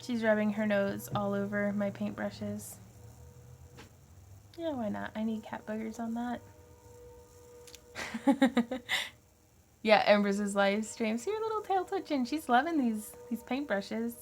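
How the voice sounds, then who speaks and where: A woman's voice: mid-range voice, measured pace, pauses often, slight background noise. A woman hosting a casual craft livestream, chatty and laughing often.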